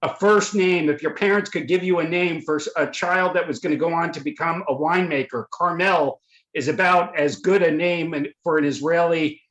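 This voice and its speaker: A man hosting a webinar, introducing the panelists in a halting way, with many fillers and restarts, over a video call. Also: on the high side, a bit bright, muffled recording. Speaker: webinar host and moderator. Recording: echoey room audio.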